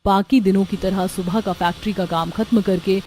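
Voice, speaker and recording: Woman narrating a story, mid-pitched. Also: steady tone, normal speed, dark tone, a little hiss or hum.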